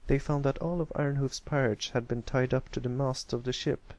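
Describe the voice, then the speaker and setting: Man reading a story, creaky voice. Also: medium pitch, darkish tone. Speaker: amateur fan fiction reader. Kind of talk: scripted story narration.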